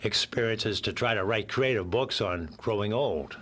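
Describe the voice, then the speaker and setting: An older man with a hoarse voice from a cold, a guest in a radio interview who rambles a little and jokes and laughs at his own quips. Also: lowish voice, normal speed, fairly bright tone.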